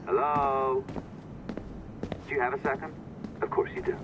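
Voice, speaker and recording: An actor playing a smug, secretive tech guide, wry and casually bossy over a radio in a video game. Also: male voice, medium pitch, level tone, a little slow, background noise. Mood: wry, instructing. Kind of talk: conversational video game acting. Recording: dull radio sound with loud music.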